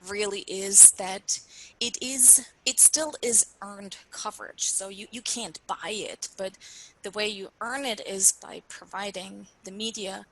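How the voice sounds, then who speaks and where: A Swiss woman in her forties, a native German speaker, talks through a webinar with a bright, clear tone. She is chatty and friendly, and her sentences wander with plenty of ums along the way. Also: medium pitch, steady tone, measured pace, a little hiss or hum, low-quality recording. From Switzerland. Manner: chatty, friendly, many fillers. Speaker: PR consultant guest. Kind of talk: webinar interview.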